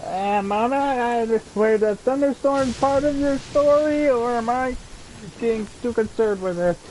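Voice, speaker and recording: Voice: mid-range voice, measured pace, darkish tone, a little hiss or hum, rough recording. A streamer giving casual commentary on a game, chatty and reacting aloud as things happen.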